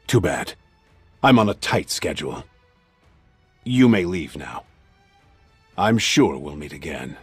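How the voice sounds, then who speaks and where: Deep, husky, condescending villain. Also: male voice, very animated, slow, long pauses, soft background music, heavily acted. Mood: taunting, menacing. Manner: taunting, condescending, theatrical. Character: smug, menacing man of authority, toying with underlings. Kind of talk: English video game voice acting.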